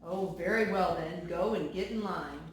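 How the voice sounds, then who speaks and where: A woman voicing a prim, fussy, excitable little male show secretary from a storybook, with an exclamatory manner. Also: lowish voice, normal speed, lightly acted. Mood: resigned. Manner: exclamatory, sputtering. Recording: very echoey room, faint noise.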